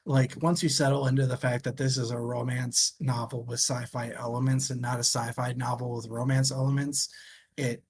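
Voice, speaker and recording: A man's voice: mid-range voice, flat delivery, moderate pace, darkish tone, a little hiss or hum. A man co-hosting a casual, conversational book discussion. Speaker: book podcast co-host.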